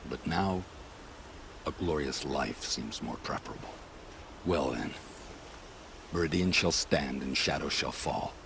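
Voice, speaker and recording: An actor playing a grim, honour-bound soldier in a video game, talking in a solemn, formal way. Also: male voice, very deep voice, measured pace, vocal fry, long pauses, noisy background, heavily acted. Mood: solemn, humble. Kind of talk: video game cinematic dialogue.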